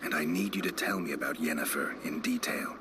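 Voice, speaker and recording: A man playing a brooding, world-weary monster hunter in a video game, with a gravelly voice and grave, restrained delivery. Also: rumbling low, a little fast, background noise. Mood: intimate. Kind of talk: video game cutscene acting. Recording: loud music over game dialogue.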